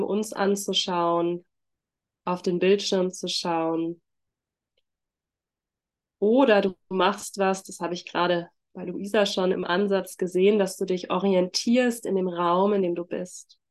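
A woman with a congested voice from a heavy cold, speaking gently and informally as a yoga teacher leading a workshop. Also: on the low side, fairly even intonation, unhurried. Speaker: trauma-sensitive yoga teacher and facilitator. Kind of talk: workshop introduction and guided mindfulness.

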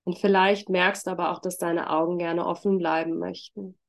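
A woman with a congested voice from a heavy cold, speaking gently and informally as a yoga teacher leading a workshop. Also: fairly low pitch, little pitch movement, normal speed, vocal fry. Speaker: trauma-sensitive yoga teacher and facilitator. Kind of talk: workshop introduction and guided mindfulness.

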